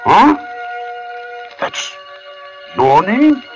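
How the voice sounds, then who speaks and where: An actor playing a simple working-class tombstone carver, halting and puzzled, in 1940s radio suspense style. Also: male voice, sits high, sing-song, full character voice, poor audio. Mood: puzzled, uneasy. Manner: hesitant, halting, muttering interjections. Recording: old radio recording with music behind.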